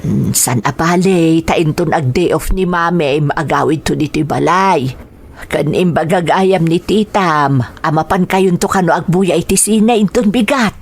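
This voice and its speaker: Woman acting slow, low-toned Filipino grandmother. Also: creaky, husky, heavily acted. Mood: soothing. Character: kindly, unhurried elderly grandmother minding a child. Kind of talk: audio drama acting.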